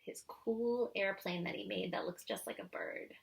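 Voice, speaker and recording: A woman reading a picture book aloud to children and adding simple, expressive asides. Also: medium pitch, moderate pace, creaky, slight background noise. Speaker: read-aloud storyteller.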